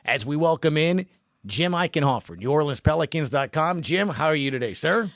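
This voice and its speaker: A man's voice: fairly high pitch, lively intonation, fairly quick, fairly bright tone, no echo, clean studio sound. An American man hosting a sports podcast, recapping games in an upbeat, scripted presenting style. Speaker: podcast host.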